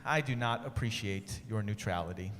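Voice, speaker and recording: A man's voice: on the low side, moderate pace, fairly bright tone, voice pushed down, lightly acted. A man voicing a small, desperate male mouse who pleads politely for help. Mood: deflated. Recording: echoey room with faint ambient noise.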